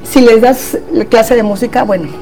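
A Mexican woman with a slightly high voice chats as a therapist guest. She talks freely and often checks in with little tag questions. Faint music sits underneath her voice. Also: moderate pace, warm tone, rough recording. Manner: chatty, frequent tag questions. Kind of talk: casual panel chat.

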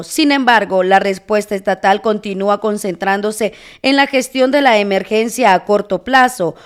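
Lady reading news commentary on air, smooth clear voice, measured. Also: mid-range voice. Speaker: news reader. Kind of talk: reading an opinion piece on air.

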